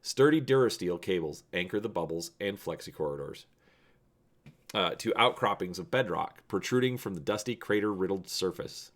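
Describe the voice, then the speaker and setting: Male narrator, deep voice, lively pitch movement, expressive emphasis, host presenting a dramatic adventure reading. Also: moderate pace.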